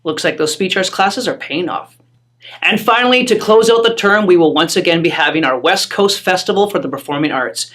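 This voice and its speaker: Measured newsletter presenter voice. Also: medium pitch, a bit bright. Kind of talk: scripted announcement narration.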